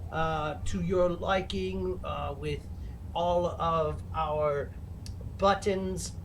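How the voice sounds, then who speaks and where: Man acting as a melodramatic villain show host, high-pitched, slow and halting, gloating, comedy livestream. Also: steady tone, a little hiss or hum, poor audio. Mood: gloating, menacing. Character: theatrical male mad villain host plotting laser revenge. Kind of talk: rambling in-character horror-comedy livestream.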